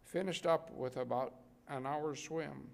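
A man's voice: fairly high pitch, a little slow, vocal fry. An older man reading wartime letters aloud at a podium microphone in an indoor gallery. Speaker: readers theater reader.

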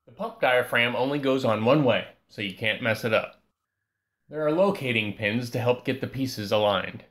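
A man giving a calm, scripted voice-over that walks through a repair step by step. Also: mid-range voice, normal speed. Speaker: repair channel host.

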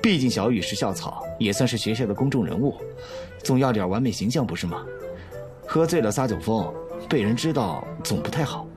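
Animated, teasing young male student played by a man. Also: fairly low pitch, fairly quick, music in the background, close to an everyday voice. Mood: casual, teasing. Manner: coaxing, teasing. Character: sly, teasing male college student, playfully wounded suitor. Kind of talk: Chinese audio drama acting.

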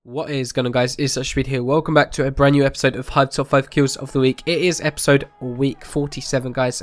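Hoarse young man with a cold. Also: mid-range voice, a bit bright. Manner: rambling, with fillers and restarts. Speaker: host of a game clip countdown. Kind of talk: casual video narration.

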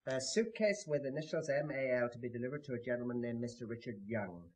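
An actor playing an obliging, hesitant railway station employee in a 1970s radio drama, deferential in manner. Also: male voice, mid-range voice, fast, a little mellow, close to an everyday voice, poor audio. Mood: puzzled.

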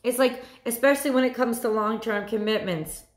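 Hoarse woman giving a tarot reading. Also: fairly high pitch, brisk, reverberant. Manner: animated, repeats phrases, chatty asides. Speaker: tarot reader. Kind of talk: weekly tarot reading to camera. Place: small room at home.

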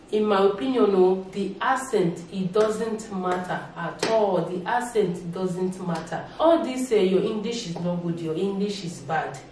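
A young Nigerian woman speaks to the camera from her sofa with lively gestures, repeating words and slipping into Pidgin. Her voice is set back from a distant mic and rings around a very echoey room. A faint hiss sits under it. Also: mid-range voice. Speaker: YouTuber. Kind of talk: casual opinion vlog to camera. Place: indoors, living room with a sofa.

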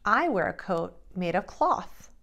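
Middle-aged female preschool teacher, unhurried delivery with big swings in pitch, plain phrases for little kids. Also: very animated, creaky, a bit bright. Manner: simple deliberate phrasing with questions to young children. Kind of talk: children's lesson and read-aloud.

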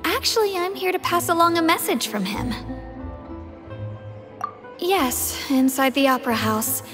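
A young palace messenger from a video game, with a high, girlish voice. She speaks formally and politely, measured and composed, while music plays clearly behind her. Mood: polite, friendly.

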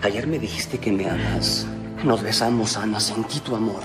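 Actor as ardent, insistent lover. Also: male voice, fairly low pitch, normal speed, over music, natural voice. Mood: agitated, insistent. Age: 30s. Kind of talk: telenovela acting.

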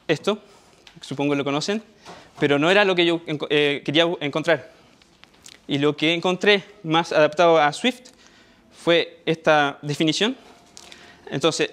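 A man's voice: on the high side, a bit bright. A young Chilean man with a Chilean Spanish accent giving a tech conference talk on stage, hesitant, with many fillers and restarts. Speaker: conference speaker. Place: dark auditorium stage.

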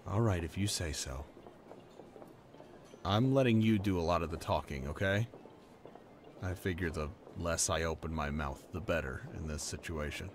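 A man's voice: low voice, noisy background, natural voice. A young man acting a laid-back, playful working-class boyfriend in a conversational roleplay audio drama, informal and earnest. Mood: uneasy, subdued. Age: young adult.

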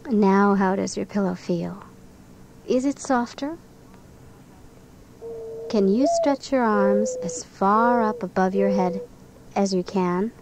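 Female narrator for children's guided relaxation and bedtime storytelling: gentle, very unhurried delivery, audible background noise. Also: medium pitch. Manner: gentle, soothing, unhurried instruction. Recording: clearly noisy recording with background ambience.

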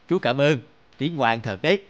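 A man voicing a friendly, avuncular village neighbour in an animated fairy tale, in a medium-pitched voice. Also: unhurried, no echo, muffled recording, natural voice. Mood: genial. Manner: genial, avuncular. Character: friendly, hard-working village uncle.

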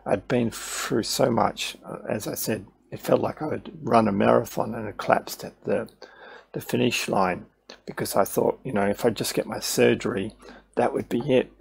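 A man's voice: fairly low pitch, fairly even intonation, moderate pace, vocal fry, breathy edge. A middle-aged man talking to his webcam through a headset mic about his own recovery, candid and thinking aloud with frequent restarts. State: voice still recovering after losing it. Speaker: video creator sharing his recovery. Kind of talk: casual talk to camera over slides.

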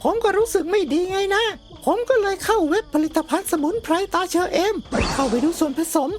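A woman's voice: very high voice, expressive, brisk, music in the background. A woman voicing a cheeky, naive schoolboy grandson in a cartoon comedy, chatty and earnest. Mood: eager, explaining. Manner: earnest, rambling explanations with cheeky asides.

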